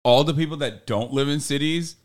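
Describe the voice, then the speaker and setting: A man's voice: fairly high pitch, fairly quick. A man hosting a podcast in loose, profane casual chat, full of fillers and jokes. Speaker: podcast host.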